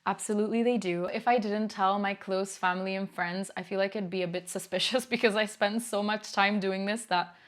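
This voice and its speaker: A woman's voice: medium pitch, fairly even intonation, a little fast, few pauses. A woman in her twenties from Italy, answering viewer questions in a chatty, candid knitting vlog at home. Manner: chatty, candid, laughs easily. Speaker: knitting YouTuber. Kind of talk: casual Q&A vlog.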